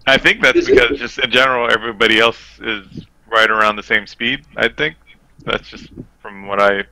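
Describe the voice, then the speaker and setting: A man with a low voice and a very bright, sharp edge breaks down a game casually. There is some gravelly fry in his speech. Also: fairly even intonation, moderate pace, a little hiss or hum, poor audio. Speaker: podcast guest, fighting game player.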